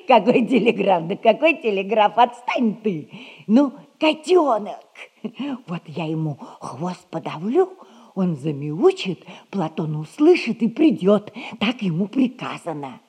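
An actress playing a brisk, scheming old servant nanny in a classic radio comedy, bantering in folksy speech. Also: female voice, mid-range voice, very animated, dark tone, breathy edge, exaggerated voice. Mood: playful, conspiratorial.

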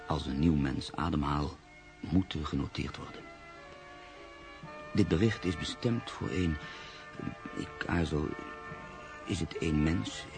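Thirties literary journalist, very low gravelly voice, measured, reflective, radio play. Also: male voice, exaggerated voice. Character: reflective, hesitant writer-journalist in his mid-thirties. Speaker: actor narrating as the lead character. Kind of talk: radio drama monologue and dialogue.

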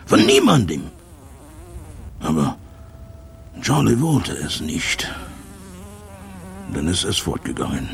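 An actor playing a bitter old jazz trumpeter, broken and haunted, rambling and repeating himself in a German radio drama. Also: male voice, medium pitch, vocal fry, a little hiss or hum, full character voice. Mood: wistful, haunted.

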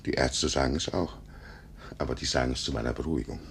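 Casting: lonely invalid gentleman in his seventies, querulous, very low gravelly voice, vintage radio drama. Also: quick pace. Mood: wistful. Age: older. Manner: querulous, plaintive. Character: lonely, self-pitying paralysed 72-year-old gentleman. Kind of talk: 1950s German radio drama acting. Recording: noisy, rumbling 1950s radio recording.

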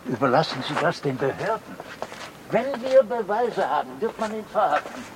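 A worried middle-aged doctor in a radio play. His voice sits fairly high, formal and admonishing, over clearly audible background noise. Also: sing-song, normal speed, poor audio. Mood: urgent warning. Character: sober, cautious, worried doctor, urging reason. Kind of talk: radio drama acting.

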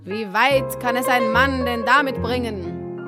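A woman's voice: on the high side, measured pace, close to an everyday voice. An actress playing a nervous, status-minded young girlfriend, reciting fretful rhymes in a radio play. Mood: fretful, reproachful. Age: young adult. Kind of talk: radio play verse monologue to music. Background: loud piano music.

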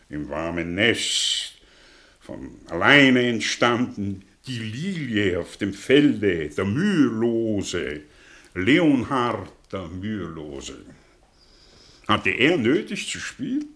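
An actor playing a cynical, bitter professor in a radio play, declaiming in with sardonic rhetorical questions. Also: male voice, mid-range voice, fairly bright tone, heavily acted. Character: bitter, cynical male professor, theatrical intellectual monologist. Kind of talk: German radio play acting.

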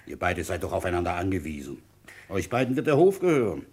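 A man playing an ailing, dogged police inspector in his fifties in a radio crime drama, weary and blunt, with a husky voice. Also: low voice, very animated, fast, darkish tone, exaggerated voice. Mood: firm, matter-of-fact. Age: 50s. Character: ailing, dogged French village police inspector, fifty-five. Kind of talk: German radio crime drama acting and first-person narration.

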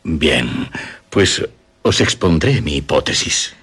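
An older Castilian gentleman, a father and master of a castle in a radio play. His voice is very low and husky, with lively swoops. He explains things formally and deliberately, always courteous and reassuring. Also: exaggerated voice. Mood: grave, questioning. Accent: Castilian Spanish. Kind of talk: radio drama acting.

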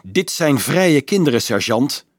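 A man's voice: medium pitch, big pitch swings, unhurried, no room sound, clean studio sound, pitched above the performer's own voice. A man voicing a vehement, hot-tempered teenage boy. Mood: seething. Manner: vehement, protesting. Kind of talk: audiobook character voice.

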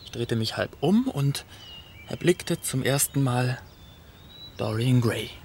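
A devoted, secretive painter in a radio play. He speaks earnestly and confessionally at a middling pitch, over faint background ambience. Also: expressive, slow pace, darkish tone, a little hiss or hum. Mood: reluctant, confiding. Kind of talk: German radio play acting.

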